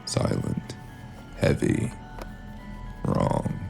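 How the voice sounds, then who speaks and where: A man's voice: very slow, a little mellow, faint music behind. A man playing a cold, calculating killer who narrates a cyberpunk noir audio drama in ominous, clipped phrases. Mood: ominous, suspenseful.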